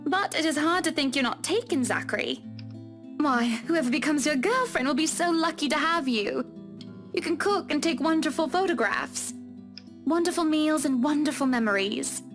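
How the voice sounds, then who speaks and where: A high-voiced, genteel young wife. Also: female voice, expressive, moderate pace. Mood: playful, warm. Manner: genteel, playful, teasing. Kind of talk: visual novel voice acting. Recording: music playing behind.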